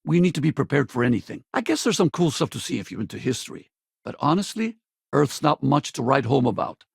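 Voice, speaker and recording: Gravelly, wry spaceship crewman. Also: medium pitch, big pitch swings, a little fast, dry recording, studio quality, exaggerated voice. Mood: earnest. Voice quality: gravelly, husky. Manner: casual, wry, plain-spoken. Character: weary, wry male spaceship crewman and ex-soldier, loyal, regretful father. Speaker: video game companion character. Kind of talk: naturalistic video game dialogue.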